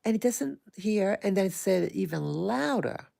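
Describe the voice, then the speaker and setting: A soft-spoken woman hosting an early morning livestream, talking hesitantly with many pauses and fillers and greeting her viewers. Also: mid-range voice, animated, vocal fry. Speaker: livestream host. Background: small dog occasionally heard.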